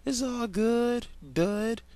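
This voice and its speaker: Cheerful, clumsy cartoon kid, high voice, performed by a man, for fan fiction reading. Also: drawn-out words, warm tone, clean tone, no echo, exaggerated voice. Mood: loud, reassuring. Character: eager, clumsy young cartoon boy, sheepish and cheerful.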